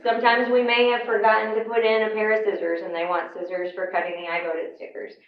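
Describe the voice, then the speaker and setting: A woman with a hoarse voice from a cold, explaining her work to a class in a conversational way with plenty of ums. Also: mid-range voice, level tone, quick pace. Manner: conversational, explanatory, with frequent fillers. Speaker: county election official giving a class. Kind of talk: informal lecture. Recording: echoey room, mic far off, dull sound.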